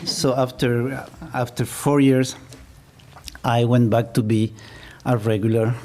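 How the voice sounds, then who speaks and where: Mellow Ecuadorian-accented male professor. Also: medium pitch, level tone, slow, a little hiss or hum. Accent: Ecuadorian Spanish. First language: Spanish. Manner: self-deprecating, chuckles at own jokes. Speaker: business professor. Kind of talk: public lecture.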